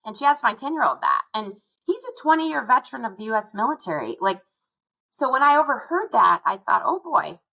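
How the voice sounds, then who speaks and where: A woman's voice: a little high, big pitch swings, a little fast, vocal fry, sharp tone, poor audio. A middle-aged woman from Arizona, a guest chatting casually and telling stories, often quoting her own thoughts. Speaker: guest, curriculum founder. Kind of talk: casual interview chat.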